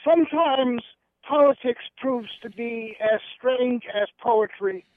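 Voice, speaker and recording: A man's higher-pitched voice reaches the show through a tinny telephone line, dry and close with no room sound. He speaks thoughtfully, often restarting his sentences. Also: no echo. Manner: thoughtful, hesitant restarts; measured reading. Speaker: guest poet and activist. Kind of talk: radio interview and poetry reading.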